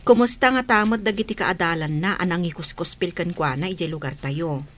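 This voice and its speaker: A woman's voice: on the low side, brisk, lightly acted. A woman playing a friendly village neighbour chatting in an audio drama. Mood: neighbourly. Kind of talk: Philippine audio drama acting.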